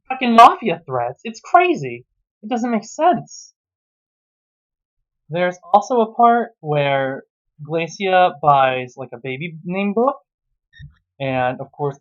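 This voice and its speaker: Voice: mid-range voice, animated, slow pace. A commentator ranting sarcastically and casually about a story, exasperated and swearing freely, on a dull lo-fi recording. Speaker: host reading and mocking an amateur story. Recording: dull, muffled lo-fi sound.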